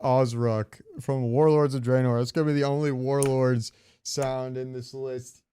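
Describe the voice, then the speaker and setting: A man's voice: medium pitch, little pitch movement, measured pace, mellow, clean tone. A man co-hosting a casual gaming podcast, talking in a meandering, conversational way. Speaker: podcast co-host.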